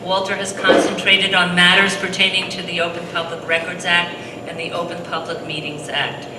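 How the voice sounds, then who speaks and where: A woman with a fairly low voice moderating a public seminar, reading introductions slowly with frequent ums. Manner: reads introductions with frequent ums, slow and deliberate. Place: high school hall. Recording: echoey hall recorded from far off, noisy.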